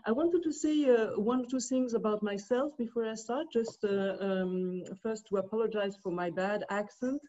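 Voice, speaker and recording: Hesitant Swiss woman with a mellow voice. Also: medium pitch, normal speed, few pauses, muffled recording. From Switzerland. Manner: hesitant, many fillers and restarts. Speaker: discussant. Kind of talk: academic commentary on a video call. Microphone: video call.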